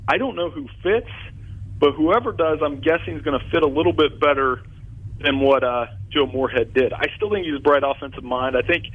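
Forties guy calling into sports radio, husky voice, tinny phone line, keeps restarting his sentences. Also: fairly high pitch, brisk. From Southeast Ohio. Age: 40s. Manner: animated, stumbling restarts. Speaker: guest sportswriter. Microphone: phone call.

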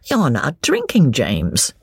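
A narrator voicing a courtly, mild English gentleman who is bland, polite and slyly encouraging to his cousin. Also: mid-range voice, wildly swooping pitch, slow, vocal fry, slightly rough, dry recording, exaggerated voice. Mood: sly, coaxing.

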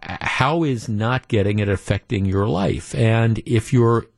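Dry-voiced radio host, low. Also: male voice, slow pace, gravelly, no room sound. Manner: conversational, many restarts and fillers; reads listener emails aloud. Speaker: radio talk show host. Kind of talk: call-in radio talk.

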